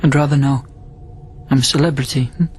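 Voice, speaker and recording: An actor in a radio drama playing a lonely, sardonic astronaut held in quarantine, talking bluntly and informally. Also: male voice, medium pitch, steady tone, brisk, darkish tone, faint music behind, close to an everyday voice. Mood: bitter, wry.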